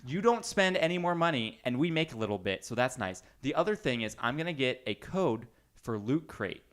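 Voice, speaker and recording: Male podcast host, medium pitch, chatty, informal and joking. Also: big pitch swings, brisk. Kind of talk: casual chat.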